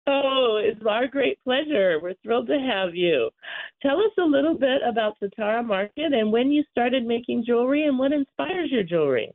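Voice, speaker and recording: A woman's voice: a little high, lively intonation, moderate pace, phone-quality sound. Woman host with a scratchy voice. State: slightly scratchy voice. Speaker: radio show host. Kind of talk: casual interview.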